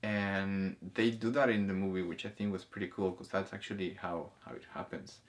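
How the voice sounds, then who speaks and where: A man talking casually as host of a discussion, haltingly, often repeating words and restarting his sentences. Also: sits low, steady tone. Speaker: host of a spirituality film discussion. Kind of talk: casual conversational commentary.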